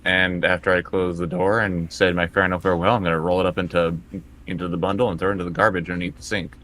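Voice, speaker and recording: A man's voice: low voice, little pitch movement, fast talker, fairly bright tone. A man playing a tabletop role-playing game, improvising his actions and lines in a casual, conversational style. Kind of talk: casual in-character improvised play.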